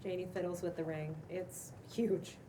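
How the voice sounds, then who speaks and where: A woman narrates stage directions at a script read in a fairly low voice. She sounds a little way from the microphone, with clear noise in the room. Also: flat delivery, moderate pace, dark tone. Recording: distant mic, noticeable room noise.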